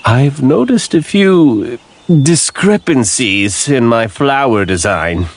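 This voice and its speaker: A man's voice: medium pitch, animated, slow, dry recording. An actor playing a fussy, earnest old male vampire who gardens, speaking in a prim and overly polite way.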